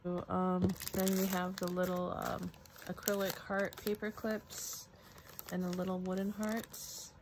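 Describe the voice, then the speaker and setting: Female craft vlogger, unhurried and informal, thinks out loud; noticeable noise behind her. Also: on the low side, completely flat delivery. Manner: chatty, musing aloud to herself. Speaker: crafter showing a product haul. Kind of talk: casual unboxing commentary. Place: indoors at a craft table.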